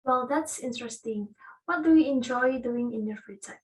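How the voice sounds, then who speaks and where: A woman's voice: fairly high pitch, moderate pace. A young woman acting as examiner in a mock English speaking test, asking brief formal questions and giving feedback. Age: young adult. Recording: far from the mic.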